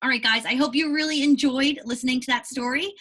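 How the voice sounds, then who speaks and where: A woman's voice: sits high, fairly even intonation, quick pace, fairly bright tone. A woman teacher reading a children's book aloud, explaining it to young pupils in an instructive way with frequent check-in questions. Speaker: elementary school teacher.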